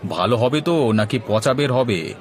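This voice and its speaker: A man's voice: medium pitch, expressive, moderate pace, a faint crowd, natural voice. A man voicing a henpecked village husband in a cartoon, placating and grumbling with dry jibes. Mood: businesslike. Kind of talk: Bangla cartoon voice acting.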